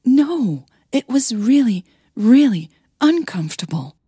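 Woman acting an exasperated young daughter, higher-pitched. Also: very animated, takes it slowly, dark tone, slightly rough, dry recording, studio quality, exaggerated voice. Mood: distressed. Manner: exasperated, emphatic. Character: anxious young woman opera singer, whining to her mother.

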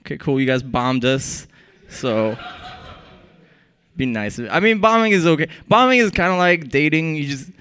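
A man's voice: a little high, expressive, moderate pace, pauses often, a bit bright, slight background noise. A young man from Iraq performing a wry stand-up set on a small club stage, holding a handheld mic. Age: young adult. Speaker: stand-up comedian.

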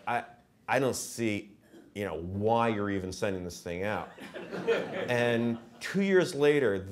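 A man telling anecdotes informally to an audience in a halting, wry, self-deprecating way. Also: lowish voice. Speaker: author and professor speaking at a reading. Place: wood-panelled auditorium stage. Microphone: podium microphone and lapel mic.

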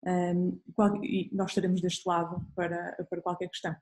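A woman's voice: lowish voice, flat delivery, brisk, a little mellow. A young woman with a Portuguese accent informally introducing a live online event as host over a video call. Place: indoor room.

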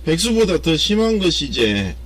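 A man's voice: fairly high pitch, big pitch swings, moderate pace, a bit bright. A man with a thick Jeolla dialect, chatting and teasing an old friend in a comic phone sketch.

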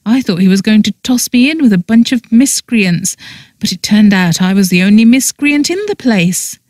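A woman's voice: mid-range voice, normal speed, darkish tone, no echo, clean studio sound, voice pushed up, close to an everyday voice. A woman voicing a self-deprecating, easily exasperated heroine in an audiobook story, speaking in an emotive, exclaiming manner. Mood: wry joking.

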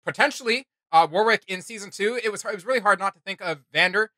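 A young man chatting casually and excitedly as a fan hosting a reaction video. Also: sits high, quick pace, no echo, studio quality. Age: young adult. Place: small indoor room with gaming desks.

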